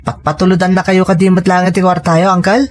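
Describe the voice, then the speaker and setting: A man's voice: high-pitched, flat delivery, fairly quick, slight background noise, natural voice. A man with an Ilocano accent playing a cheerful, inquisitive young nephew in an audio drama. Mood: pleased. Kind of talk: audio comedy-drama acting.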